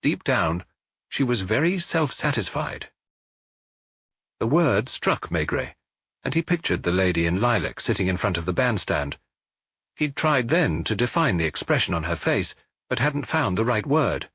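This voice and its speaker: A male audiobook narrator telling the story in a measured, even way. His voice is husky and moves freely in pitch, and the dry, close recording has no room sound. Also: dry recording. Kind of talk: audiobook reading of a detective novel.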